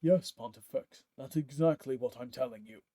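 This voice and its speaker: A man's voice: medium pitch, moderate pace, dark tone, no room sound, natural voice. A man voicing a loyal, resolute male Vedalken warrior who stands by his duty, in an audiobook reading. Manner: firm, resolute.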